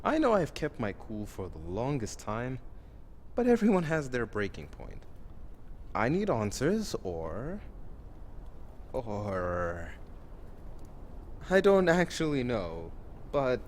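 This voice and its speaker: An actor playing a bewildered, anxious and weary lost soul with no memory, speaking haltingly in an audio drama. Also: male voice, mid-range voice, wildly swooping pitch, long reverb tail, slight background noise. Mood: frustrated, pleading.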